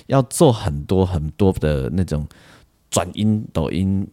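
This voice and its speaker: A man's voice: deep voice, lively intonation, a little slow, mellow. A man with a Taiwanese Mandarin accent hosting a casual solo music show, talking in a rambling way with frequent particles and asides. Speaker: music podcast host.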